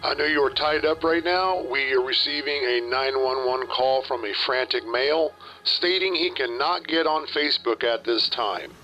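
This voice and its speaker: A man's voice: mid-range voice, moderate pace, sharp tone. A man giving formal, matter-of-fact police dispatch reports over a radio. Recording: dull band-limited radio with music behind.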